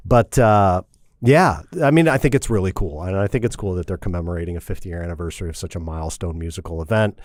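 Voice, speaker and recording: Middle-aged guy from indiana, real low voice, rambles and restarts a lot, dry studio sound. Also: fast, a little mellow, dry recording. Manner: rambling, repeats words, self-correcting. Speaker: podcast guest. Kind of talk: casual interview.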